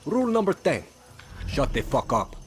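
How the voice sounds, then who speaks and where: An actor playing a cocky, sarcastic guerrilla fighter in a video game. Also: male voice, fairly high pitch, sing-song, moderate pace. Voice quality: sarcastic, offhand. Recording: game music loud behind.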